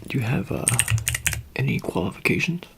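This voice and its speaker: A man speaking softly in an ASMR roleplay, halting and casual, often slipping into a whisper. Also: very animated, very noisy. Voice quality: soft, breathy, often dropping into whisper. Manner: halting, casual, low-key official patter. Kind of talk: ASMR roleplay, soft-spoken, improvised.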